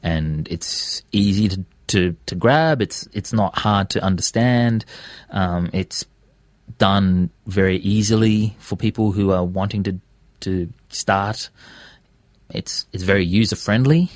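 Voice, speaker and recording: A man's voice: sits low, slow, dry recording. An Australian man talking conversationally as a radio presenter and podcaster being interviewed in a studio.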